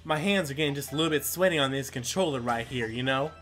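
A man's voice: medium pitch, fast talker, fairly bright tone. A man hosting a gameplay video with casual, rambling commentary, full of exclamations and mild swearing. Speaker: gaming video host.

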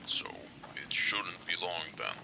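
Gravelly, grumbling wasteland soldier, acted by a man. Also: low voice, big pitch swings, takes it slowly, heavily acted. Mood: weary. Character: gruff, impatient male masked soldier in ruined wasteland. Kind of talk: amateur comic dub voice acting. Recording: gritty track with background noise.

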